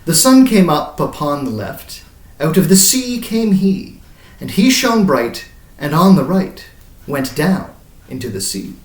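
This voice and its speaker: Slow, haunted old mariner, recited by a man. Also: on the high side, sing-song. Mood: grave, intense. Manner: dramatic, incantatory, swelling and falling away. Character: haunted, grey-bearded ancient mariner recounting his voyage. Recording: roomy echo, distant mic.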